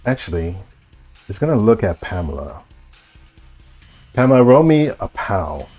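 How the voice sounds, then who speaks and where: Low-voiced man running a horror game. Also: sing-song, warm tone, faint music behind. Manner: halting, repetitive phrasing. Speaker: game master. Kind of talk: improvised narration of a tabletop horror game.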